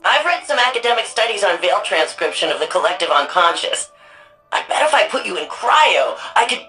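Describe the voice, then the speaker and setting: An actress playing an eager, eccentric sci-fi data researcher in a video game, excitable and quick-witted. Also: female voice, medium pitch, poor audio. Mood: giddy excitement.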